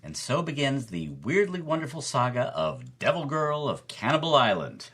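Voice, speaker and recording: A man's voice: medium pitch, huge pitch swings, normal speed, fairly bright tone, husky. A man hosting as a storyteller, narrating with wry, emphatic delivery and punchy repetition. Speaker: podcast host, film club organizer.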